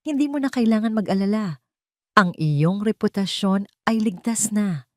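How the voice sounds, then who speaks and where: A woman's voice: mid-range voice, lively intonation, moderate pace, no room sound, pitched above the performer's own voice, natural voice. A stern, wealthy older mother played by a woman narrator in an audio drama. She speaks in a hard, commanding, controlling way, recorded dry. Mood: cold, firm.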